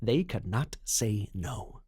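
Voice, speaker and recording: An actor playing a slick, confident mining company banker, a smooth-talking dealmaker in an audio drama. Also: male voice, fairly low pitch, lively intonation, slow, a little mellow, a little hiss or hum. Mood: persuasive.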